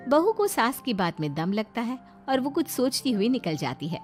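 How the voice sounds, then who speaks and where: A woman narrating a family story in an expressive, dramatized storytelling style. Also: fairly high pitch, moderate pace, over music.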